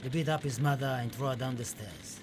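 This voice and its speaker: A man playing a haunted Spanish poet in a radio play, speaking in dramatic, deliberate, poetic phrases on a noisy recording. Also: medium pitch, lightly acted. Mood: grave, anxious. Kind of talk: radio play monologue with recited poetry.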